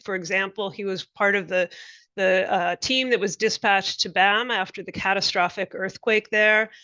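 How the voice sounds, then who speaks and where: A woman speaking as an analyst on a panel, giving a measured, articulate account. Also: mid-range voice, bright, forceful tone, smooth, no echo. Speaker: policy analyst. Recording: dull, dry lo-fi sound.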